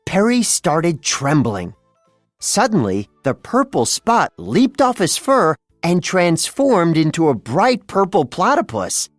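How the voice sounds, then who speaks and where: A narrator reading a children's read-along storybook in an expressive storytelling manner. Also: mid-range voice, slow, no echo, studio quality, faint music behind.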